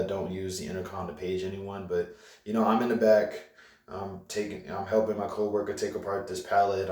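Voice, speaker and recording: A young man rambles through his week with plenty of fillers and restarts. His voice sits very low. It rings through an echoey room, picked up from a distance. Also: mellow. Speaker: vlogger. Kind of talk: casual vlog rant.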